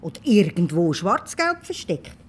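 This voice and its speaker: A woman's voice: fairly low pitch, big pitch swings, a little slow, slightly rough, heavily acted. A woman acting a curious, mildly mocking village gossip in a radio play, casual and nosy. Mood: curious, sceptical.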